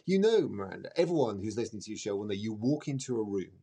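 A middle-aged man explaining things conversationally as a podcast guest, emphatic, with dramatic pauses and repetition. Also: fairly low pitch, very animated, mellow. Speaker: astrologer guest.

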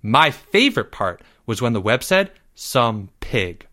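Storybook pupil, read by a man: earnest, simple delivery, bright and lively. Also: mid-range voice, a little slow. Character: earnest schoolboy sharing his book opinion.

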